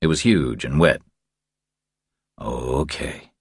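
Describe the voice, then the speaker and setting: A man narrating a thriller audiobook in a very low voice. His delivery moves up and down with plenty of expression, and the sound is close and dry. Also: animated, moderate pace, no echo.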